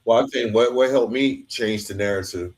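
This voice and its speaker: A man's voice: mid-range voice, moderate pace, a little mellow. A man hosting a conversational interview, reflective and informal, sharing his own anecdotes and often checking in with a tag question. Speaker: podcast host.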